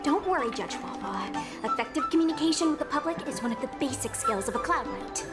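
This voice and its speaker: An earnest young knight in an anime-style game. The voice is very high and animated, polite and eager, set over loud background music. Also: female voice, a little fast. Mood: confident.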